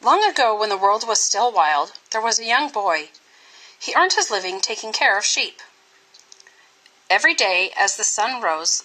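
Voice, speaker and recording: A woman reading a children's story aloud in an expressive, scripted style with dramatic, deliberate phrasing. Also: mid-range voice, rough recording. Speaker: storybook reader.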